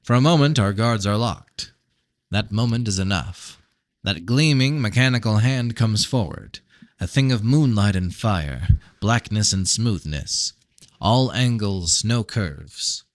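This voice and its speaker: Low-voiced man reading fantasy fiction aloud. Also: dry recording, studio quality. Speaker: audiobook reader. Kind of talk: scripted narration of a fantasy novel.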